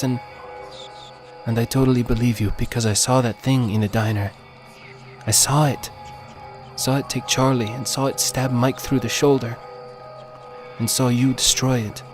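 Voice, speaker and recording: A man's voice: mid-range voice, voice pushed up, lightly acted. A man voicing a frightened, foul-mouthed young Texan woman in an audio story, stammering and sarcastic as she shivers.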